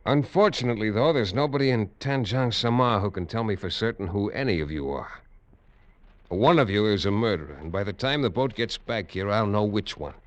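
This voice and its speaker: An actor playing a tough, wry American lawman in a 1940s radio drama, narrating in terse, measured hardboiled style. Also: fairly low pitch, expressive, close to an everyday voice. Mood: stern, determined. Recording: dull, noisy vintage radio recording.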